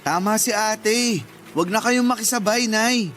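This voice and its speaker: A man's voice: sits high, measured pace, a bit bright, a little hiss or hum. A man playing a cheeky, wheedling student younger brother in a radio drama, playful and cajoling. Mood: smug.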